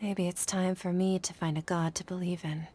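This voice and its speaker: A woman's voice: fairly low pitch, flat delivery, moderate pace, mellow, soft background music, lightly acted. A woman playing a guilt-ridden, anxious young scholar in a video game, with an earnest, hesitant delivery. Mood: uncertain, reflective. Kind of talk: scripted video game voice acting.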